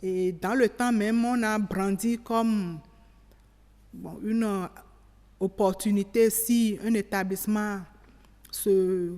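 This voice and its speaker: A woman giving measured, explanatory interview answers as an expert, often rephrasing as she goes. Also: medium pitch, fairly even intonation, dark tone. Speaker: expert interviewee on local government. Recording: reverberant hall sound.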